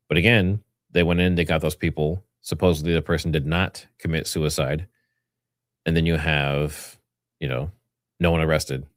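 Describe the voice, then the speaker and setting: A man's voice: low voice, dry recording, studio quality. A man hosting a livestream, giving informal, rambling commentary and partly reading from notes. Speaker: livestream host.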